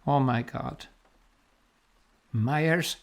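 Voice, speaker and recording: An actor playing a brash, authoritative 1930s American police officer in a radio drama, with a brisk manner. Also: male voice, medium pitch, creaky. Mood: urgent.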